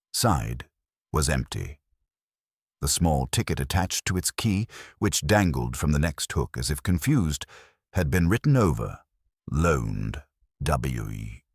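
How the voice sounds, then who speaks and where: A man reading a mystery story as a narrator, in a measured, dryly understated literary manner. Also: low-pitched, husky, no room sound, clean studio sound. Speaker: audiobook narrator. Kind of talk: scripted narration of a mystery novel.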